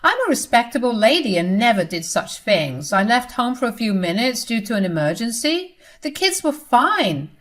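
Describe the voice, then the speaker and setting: A woman voicing a lying, self-pitying grown daughter who pleads in a high whine. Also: sing-song, normal speed, hardly any pauses, fairly bright tone. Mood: indignant.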